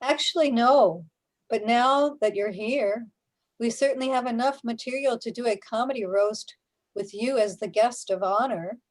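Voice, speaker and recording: A wry female companion in cosmic limbo, played by an actress for sci-fi radio. Her voice is a little high, and she likes to tease. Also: moderate pace, close to an everyday voice. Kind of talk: scripted sci-fi radio drama.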